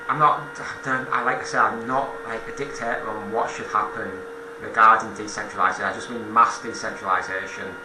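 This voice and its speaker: A man giving an informal political monologue as a commentator, halting and self-correcting, repeating phrases for emphasis. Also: fairly low pitch, roomy sound, noisy background.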